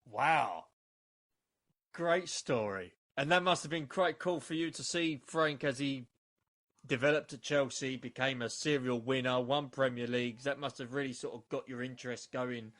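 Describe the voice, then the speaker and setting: A man's voice: mid-range voice, normal speed, a bit bright. A man hosting a podcast interview, asking leading questions with enthusiasm. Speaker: podcast host.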